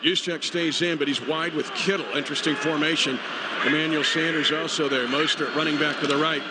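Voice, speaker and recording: A man's voice: a little high, steady tone, brisk. An American man calling football play-by-play live on a radio broadcast. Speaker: play-by-play announcer. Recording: noisy stadium radio broadcast. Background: stadium noise.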